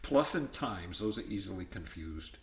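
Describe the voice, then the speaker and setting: Man, low-pitched, unhurried, halting textbook reading, off-the-cuff asides, echoey home recording. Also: expressive. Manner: halting list-like delivery with ad-libbed comments. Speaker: reader of an educational book.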